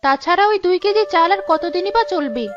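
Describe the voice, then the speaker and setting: A woman's voice: very high-pitched, brisk. A woman voicing a sensible, long-suffering village wife in a cartoon, plaintive yet patient. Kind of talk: cartoon dialogue. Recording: dull lo-fi audio with music behind.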